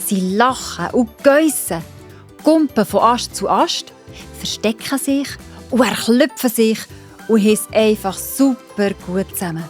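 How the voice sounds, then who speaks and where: A woman with a Swiss German accent narrating a children's story in an expressive, explanatory manner. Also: on the high side, unhurried, faint music behind.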